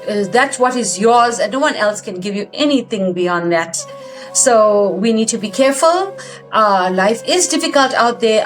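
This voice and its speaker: A woman with a fairly high voice addresses the audience as a talk host. She is earnest and advisory, phrasing things in a halting, measured way over faint music. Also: poor audio.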